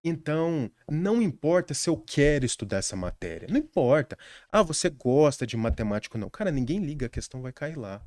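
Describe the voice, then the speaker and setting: Young man, Brazilian accent, lively swinging pitch, dry close sound, earnest podcast guest. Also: fairly quick, hardly any pauses, no echo. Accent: Brazilian Portuguese. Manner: earnest, animated advice-giving with frequent restarts. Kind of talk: casual podcast conversation.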